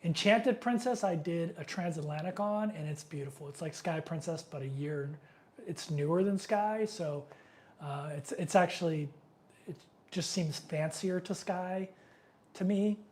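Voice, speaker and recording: A man's voice: a little high, unhurried, darkish tone. A middle-aged man with a cold, sniffling as he hosts a casual live question-and-answer stream, rambling loosely with many fillers. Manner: loose and rambling, many fillers, reads viewer comments aloud.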